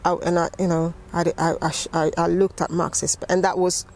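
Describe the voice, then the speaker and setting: A middle-aged woman with a London accent tells her story as an interview guest, halting and repeating words as she goes. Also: lowish voice, flat delivery, darkish tone. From West London, England. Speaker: interview guest, gardening teacher.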